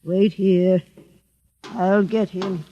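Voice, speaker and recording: A suspicious guardian of a holy man's door for 1950s radio. The voice is high and slow, guarded, sounding muffled in a long echoing hall. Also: little pitch movement, dark tone, slight background noise. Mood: guarded, softened. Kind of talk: 1950s radio drama acting.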